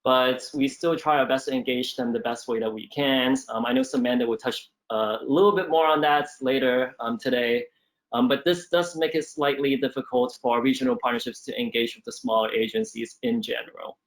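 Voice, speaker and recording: Young male analyst, mid-pitched, steady and hesitant. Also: rough recording. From Southern California. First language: Cantonese. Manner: measured, with frequent ums and uhs. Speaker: management analyst at a regional government council. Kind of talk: conversational interview answers.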